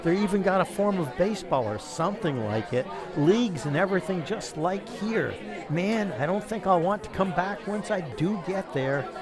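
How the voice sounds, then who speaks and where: A woman's voice: fairly low pitch, animated, normal speed, few pauses, close to an everyday voice. A woman in amateur radio theater playing an eager, casual, talkative spaceship passenger. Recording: busy crowd hubbub behind.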